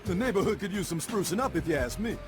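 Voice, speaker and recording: A cocky young male fish hustler in a cartoon game. His talk is boastful and slangy, swinging widely in pitch, with music behind. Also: lively intonation, fast. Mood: smooth-talking.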